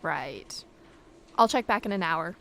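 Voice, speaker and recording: A woman's voice: lowish voice, animated, unhurried, creaky, background noise. A woman playing a level-headed police captain in an audio drama, matter-of-fact and cautioning. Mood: serious, cautioning.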